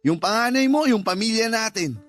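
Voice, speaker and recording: A man's voice: sits high, expressive, brisk, fairly bright tone, faint music behind, pitched above the performer's own voice. A man playing a callous, irritable husband in a Tagalog radio drama, curt and dismissive. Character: callous, irritable husband who resents his stepchild.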